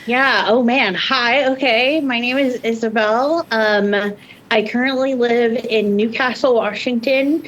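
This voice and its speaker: A woman's voice: fairly high pitch, unhurried, a bit bright, a little hiss or hum, poor audio. Young woman guest, hesitant and thoughtful. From Indonesia. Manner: hesitant, many fillers and restarts. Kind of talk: casual podcast conversation.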